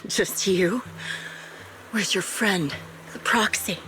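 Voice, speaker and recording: An actress playing a bitter, vengeful, dying veteran sniper in a video game, speaking in a grave, halting way. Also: female voice, mid-range voice, a bit bright, slightly rough, full character voice, rough recording. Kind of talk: dramatic video game voice acting. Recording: music behind the voice.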